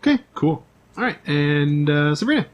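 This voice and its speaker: A man's voice: a little high, big pitch swings, moderate pace. A man hosting as game master, chatting casually and jokingly with his players. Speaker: game master and podcast host. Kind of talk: casual, unscripted tabletop chat.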